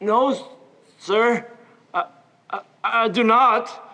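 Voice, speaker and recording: High school guy doing a timid outcast man, really high voice, bad stutter, slow, echoey theater. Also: bright, forceful tone, heavily acted. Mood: timid, halting. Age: teenager. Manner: heavy stammer, halting. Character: gentle, timid, deformed man seeking a home. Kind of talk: high school stage play acting.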